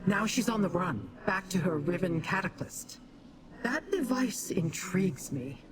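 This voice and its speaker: A woman's voice: on the low side, animated, noisy background. An actress playing a haughty, calculating demon noblewoman in a fantasy game, speaking formally and condescending to mortals. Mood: calculating, musing. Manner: imperious, formal, measured wording. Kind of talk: fantasy game character acting. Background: game music.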